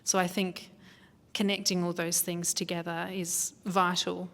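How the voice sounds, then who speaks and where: A woman's voice: on the low side, flat delivery, a little slow, a bit bright. A woman panellist at a public talk, reflective as she finishes a point. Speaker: panel speaker. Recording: long hall reverb.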